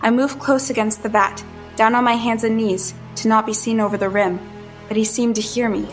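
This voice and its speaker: An actress playing a hunted woman who tells her story as tense, deliberate first-person audio drama narration. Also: on the high side, steady tone, music in the background, close to an everyday voice.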